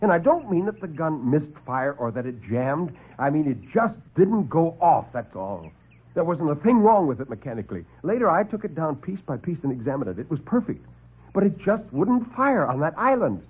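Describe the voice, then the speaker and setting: Husky, animated male radio storyteller. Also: fairly high pitch. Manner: suspenseful, theatrical, with deliberate pauses. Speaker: storyteller and actor. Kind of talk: dramatic first-person classic radio horror narration. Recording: muffled old radio broadcast recording.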